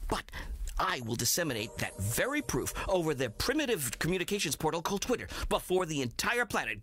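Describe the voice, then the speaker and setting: Man playing a high-pitched, fawning alien underling. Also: fairly bright tone, exaggerated voice. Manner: obsequious, stammering, ornate wording. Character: fawning, nervous male alien agent, flowery flatterer. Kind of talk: comic radio drama acting.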